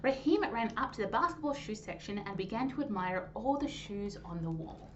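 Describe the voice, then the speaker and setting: A woman hosting a storytime, reading a children's story aloud in an animated, clearly phrased way. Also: mid-range voice, normal speed. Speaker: storytime host and reader. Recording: dull lo-fi sound, faint noise.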